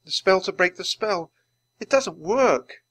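A young boy wizard from a children's storybook, acted out by a man, pitched high and swinging widely. He speaks earnestly and haltingly, with his feelings close to the surface. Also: animated, a bit bright, no echo. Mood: tearful, remorseful. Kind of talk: children's audiobook character voice.

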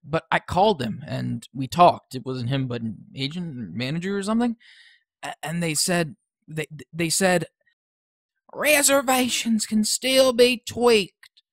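A man's voice: on the high side, expressive, a little slow, fairly bright tone, no room sound. A man playing an eager, determined teenage schoolboy in an audio drama, insistent and tripping over his words.

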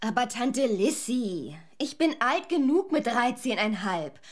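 A spirited, defiant teenage girl, in a children's audio play. Her high voice is animated and cheeky. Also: unhurried. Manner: cheeky, protesting. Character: spirited, defiant thirteen-year-old girl. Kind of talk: children's audio drama acting.

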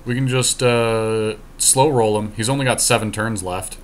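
A man's voice: fairly low pitch, steady tone, normal speed. A man giving casual, joking gameplay commentary with some swearing, as a podcast co-host. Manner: joking, profane trash talk. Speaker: podcast co-host playing online card game. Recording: clear background noise.

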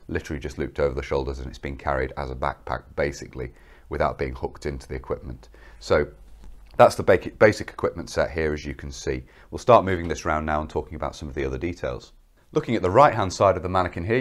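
A young man with a cold presenting military kit to camera in a studio, explaining each piece in a methodical, detailed way. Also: very deep voice. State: has a cold.

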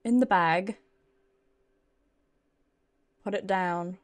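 A woman's voice: medium pitch, very slow. A British woman giving casual, playful live commentary as she plays a game, talking to herself and reading dialogue aloud. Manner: talks to herself, playful, giggly, mildly sweary. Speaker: game streamer. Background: game music.

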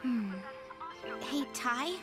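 Gentle high-voiced anime girl. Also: very slow, music in the background. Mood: gentle, concerned.

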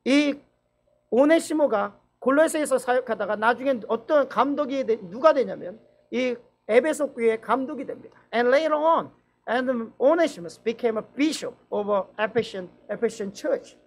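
Halting man preaching in two languages. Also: very high-pitched, animated. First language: Korean. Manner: slow, halting English with many restarts and fillers, quick fluent Korean. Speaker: pastor. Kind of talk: bilingual dawn-service sermon. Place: church sanctuary, indoors.

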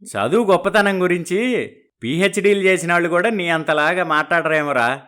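A man playing a gruff, sardonic middle-aged father of a groom in a dramatized moral story. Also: sits high, moderate pace, bright, forceful tone. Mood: sardonic amazement.

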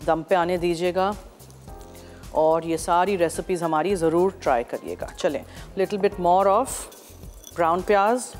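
A woman's voice: lowish voice, slow, a little mellow. Middle-aged pakistani lady on a tv cooking set, chatty, flips into english mid-sentence, lapel mic, light music behind. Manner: chatty, switches freely into English. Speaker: TV cooking show host. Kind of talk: cooking demonstration, mixing Urdu and English. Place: TV studio kitchen set.

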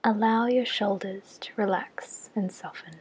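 Very breathy woman guiding meditation. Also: mid-range voice. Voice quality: soft, breathy, near-whispered. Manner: gentle, measured instructions with long pauses. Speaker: clinical psychologist leading a guided meditation. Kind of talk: slow guided body scan meditation.

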